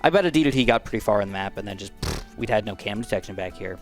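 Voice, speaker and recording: A young man giving casual, excitable gameplay commentary, his voice a bit dry and raspy, swinging from upbeat outbursts to mumbled asides. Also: fairly low pitch, rapid-fire, soft background music. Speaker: gaming YouTuber.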